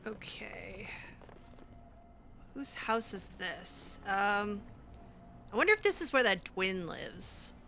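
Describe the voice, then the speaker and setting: A woman doing casual, in-character video game commentary, chatting and thinking out loud as she plays. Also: mid-range voice, lively intonation, takes it slowly, creaky, pauses often, a little hiss or hum. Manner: chatty, musing aloud. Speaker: gamer narrating a roleplay playthrough.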